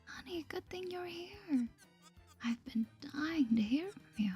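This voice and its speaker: A woman's voice: very high voice, lively intonation, a little slow, warm tone, faint music behind, pitched above the performer's own voice. A woman voicing a coy, secretive gossip-loving village woman from a cozy game in a conspiratorial whisper. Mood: eager, coy. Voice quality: breathy, whispered.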